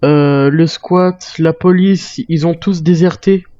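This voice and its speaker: A man's voice: a little high, steady tone, slow, mellow, no echo, highs cut off. A man chatting casually in character as a wary survivor while playing a zombie roleplay game.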